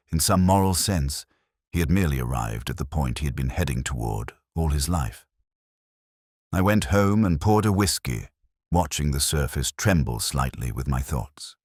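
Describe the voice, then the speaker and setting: Man with a very low voice and animated pitch, for calm, deliberate first-person detective narration. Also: dry recording, clean studio sound. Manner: measured, reflective, deliberate. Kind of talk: scripted literary narration.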